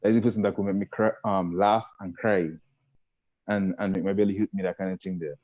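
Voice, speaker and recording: A man's voice: deep voice, steady tone, brisk, darkish tone. A young man with a Caribbean accent, a guest comedian chatting casually and rambling as he searches for words. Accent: Caribbean English. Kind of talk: casual chat.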